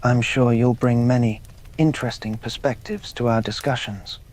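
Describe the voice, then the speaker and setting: A calm young prince, played by a man talks softly with a faint, mocking smirk in his voice. His pitch sits in the middle, lighter than the narrator's. He stays composed and quietly taunting throughout this ASMR story. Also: moderate pace, lightly acted. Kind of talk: ASMR roleplay.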